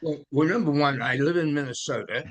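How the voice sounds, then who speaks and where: A man in his eighties, born in London and raised in Australia, with an Australian accent, gently telling personal stories as an interview guest with many hesitant fillers. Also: a little high, a little fast. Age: 80s. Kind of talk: reflective conversational storytelling.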